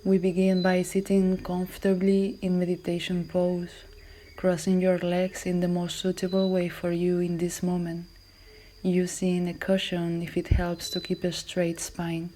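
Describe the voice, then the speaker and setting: A woman yoga instructor guiding a class in a calm, measured, soothing voice that is soft and at times breathy. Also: fairly low pitch, a little hiss or hum. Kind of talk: guided yoga and relaxation instruction.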